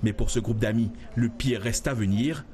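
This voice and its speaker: A man reading a news report voice-over in a measured, even tone. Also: fairly low pitch, very noisy.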